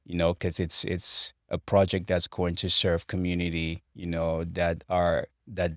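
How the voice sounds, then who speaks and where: A man in his forties from Cameroon, a construction director answering interview questions in a measured, reflective way with frequent restarts. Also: sits low, completely flat delivery, a bit bright, dry recording, studio quality. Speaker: construction director, podcast guest. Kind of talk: interview conversation.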